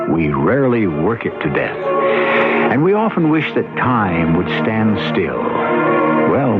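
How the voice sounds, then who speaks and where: A man hosting a radio mystery series with scripted, reflective narration. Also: sits low. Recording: muffled vintage radio broadcast, music behind.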